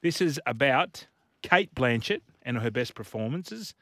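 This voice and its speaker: Animated Australian man hosting sports radio. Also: mid-range voice, measured pace, no echo, studio quality. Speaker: radio host. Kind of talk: casual sports radio banter. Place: radio studio.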